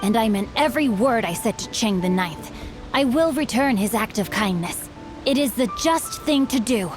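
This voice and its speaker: Woman voicing a teenage boy swordsman, bookish, chivalrous, polite formal speech, fairly high voice, game dialogue over music. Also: lively intonation, normal speed, close to an everyday voice. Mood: earnest. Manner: formal, eloquent, earnest. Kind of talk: video game voice acting.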